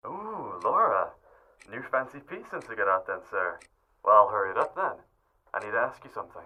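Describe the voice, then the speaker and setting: A man acting in a radio drama as a taunting, persuasive criminal ringleader with a husky voice and theatrical delivery. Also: lowish voice, very animated, brisk, sharp tone, full character voice, low-quality recording. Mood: brisk, teasing. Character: smooth-talking, menacing male criminal ringleader, needling and bossy.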